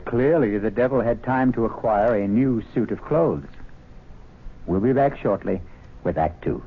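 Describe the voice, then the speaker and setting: A man narrating a radio mystery as host, with a theatrical, wry and measured delivery. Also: mid-range voice. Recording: tinny old radio broadcast. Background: music.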